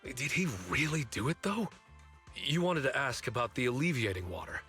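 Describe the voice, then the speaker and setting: A man's voice: mid-range voice, big pitch swings, normal speed, sharp tone, faint music behind. A man playing a big, blunt, friendly working man in a video game, casual and forthright. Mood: friendly, concerned. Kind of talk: video game story dialogue acting.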